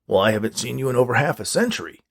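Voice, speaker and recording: A man's voice: medium pitch, expressive, racing, gravelly. A man voicing a grumpy elderly misanthrope in a storybook reading, curt and sardonic. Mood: dismissive.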